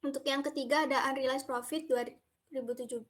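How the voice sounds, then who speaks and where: A woman's voice: high voice, steady tone, brisk. A young woman reads through a slide presentation as a student presenter. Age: young adult.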